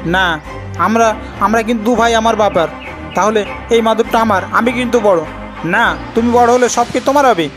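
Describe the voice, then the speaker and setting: Guy doing whiny-childish village brother in a cartoon, high pitched, insistent, bickering, music under it. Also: normal speed, long pauses, close to an everyday voice. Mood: quarrelsome. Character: two quarrelling village brothers, childish and possessive. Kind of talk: Bengali fairy-tale cartoon acting.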